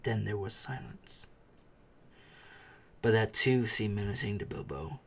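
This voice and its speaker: A man's voice: lowish voice, steady tone, a little slow, vocal fry. A man reading a storybook aloud as a dramatic narrator, with theatrical, suspenseful delivery. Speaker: audiobook reader.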